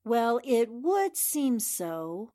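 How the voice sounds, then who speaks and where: A woman playing a sharp, persistent but polite newspaper reporter in an old-time radio drama, articulate and questioning. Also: fairly high pitch, big pitch swings, extremely slow, full character voice. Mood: challenging.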